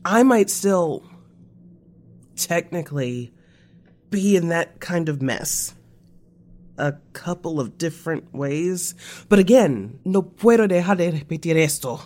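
Slow-talking, remorseful confessed spy. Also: female voice, on the low side, animated, a little mellow. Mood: halting, confessing. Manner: code-switches between English and Spanish. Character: remorseful confessing former spy, slangy and earnest. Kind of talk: naturalistic audio drama acting.

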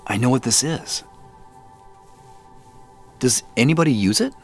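A man's voice: mid-range voice, expressive, measured pace, faint music behind. A man acting a polite, hesitant man seeking answers in an audio drama, correcting himself as he talks. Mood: hesitant, earnest. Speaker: audio drama lead actor. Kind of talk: naturalistic audio drama dialogue.

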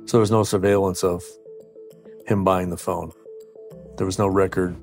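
A man, an investigator, recounting details of a case in a measured, matter-of-fact interview. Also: deep voice, flat delivery, soft background music. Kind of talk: interview clip.

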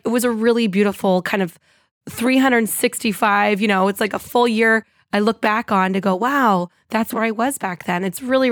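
A woman hosting her own podcast in an upbeat, chatty monologue, her voice a little scratchy and hoarse. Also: medium pitch, no room sound, clean studio sound. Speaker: podcast host.

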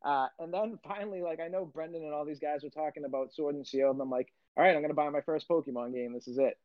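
A man in his late thirties chatting on a podcast, animated and self-mocking, often tripping over his words. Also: fairly high pitch, fast talker, no echo. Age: 30s. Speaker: podcast co-host. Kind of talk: casual chat. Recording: slightly muffled, dry sound.

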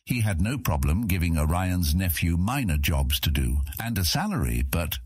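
A man reads a fantasy story as narrator. His voice is low and husky with animated rises and falls, captured close in a dry studio sound. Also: moderate pace, dry recording. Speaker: audiobook narrator.

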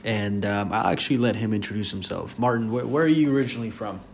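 Guy hosting a podcast, lowish voice, asks lots of questions, laughs easy, bit of faint noise. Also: vocal fry. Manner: curious, asks many questions, quick to laugh. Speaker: podcast host. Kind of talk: casual interview.

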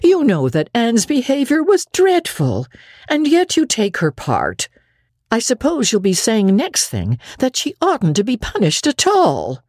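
A woman's voice: fairly high pitch, huge pitch swings, a little slow, darkish tone, no room sound, voice pushed up, exaggerated voice. A woman voicing a stern, no-nonsense older country woman in a storybook, dry and scolding. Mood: indignant.

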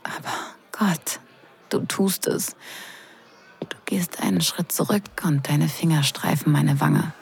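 A woman acting in an intimate audio drama as a teasing wedding guest who is drawn in, breathy and hushed. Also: low voice, in a whisper, full character voice. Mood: hushed, tender. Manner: breathy, hushed, slow and sensual delivery.

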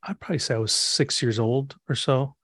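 A man in his thirties, originally from Egypt, gives reflective interview answers at a mid pitch. He pauses and restarts as he gathers his thoughts, and the recording is dry with no room sound. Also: a bit bright, slightly rough, no room sound. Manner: reflective, thinks aloud with fillers and restarts. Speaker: pastor and author guest.

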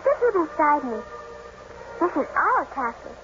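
An actress playing a willful, impetuous child in a 1950s radio drama, speaking emphatically. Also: female voice, sits high, big pitch swings, unhurried, loud music behind the voice. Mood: coaxing. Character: willful, quarrelsome children of a moorland household. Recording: muffled, noisy old radio broadcast.

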